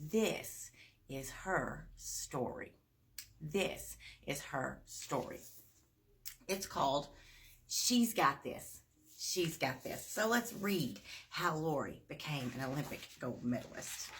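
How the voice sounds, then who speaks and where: A woman reading a children's bedtime story aloud, with expressive emphasis and little asides to young listeners. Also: low voice. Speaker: storybook reader at a performing arts school.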